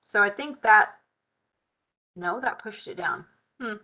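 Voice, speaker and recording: A woman with a bright, forward voice gone tired and hoarse, talking through a live software lesson at her desk mic. She mutters and hums while clicking around and keeps up an easy, chatty patter. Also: mid-range voice, slow pace. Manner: chatty, Southern "y'all", muttering while working. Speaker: software tutorial host. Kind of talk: live screen-share tutorial, thinking aloud.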